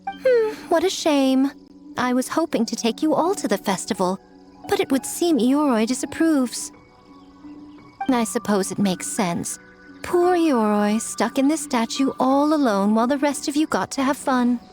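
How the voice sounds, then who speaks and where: Coy, high-voiced kitsune girl. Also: animated, unhurried, darkish tone, faint music behind. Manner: coy, teasing, polite. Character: sly, playful young female kitsune, sweetly scheming. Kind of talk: animated video game voice acting.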